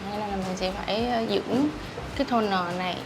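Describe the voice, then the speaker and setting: Makeup teacher, woman, mid-pitched and quick, casual explaining; studio noise clearly audible. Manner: brisk, explanatory, chatty. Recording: noisy room background.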